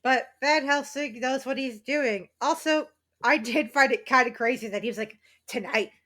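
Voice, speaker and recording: A woman's voice: high voice, moderate pace, bright, forceful tone. A woman co-hosting a podcast in casual chat, joking flippantly and swearing freely. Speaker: podcast co-host.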